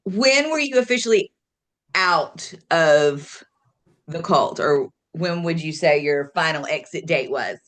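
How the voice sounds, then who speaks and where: Woman host, playful questioner, quick to laugh. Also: low-pitched, expressive, a bit bright. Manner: teasing, asks questions, laughs often. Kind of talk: casual interview chat.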